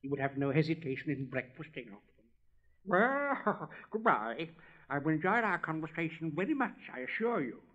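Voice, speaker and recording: A man's voice: a little high, sing-song, normal speed, a bit bright, pitched above the performer's own voice. A man voicing a chatty, complacent little male frog in a storybook reading, gushing and giggling at his own words. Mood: smug, chatty. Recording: dull lo-fi recording.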